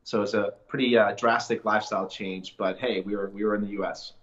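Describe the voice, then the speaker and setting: Low-voiced man, muffled lo-fi sound. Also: a little fast. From Colombia. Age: adult, a father. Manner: relaxed, reflective, many fillers and restarts. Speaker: podcast guest. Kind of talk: casual interview storytelling.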